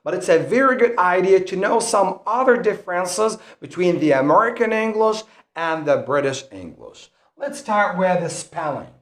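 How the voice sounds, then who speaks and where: Emphatic high-voiced male English teacher. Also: expressive, moderate pace, echoey room. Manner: repetitive, emphatic teaching delivery. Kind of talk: recorded English lesson.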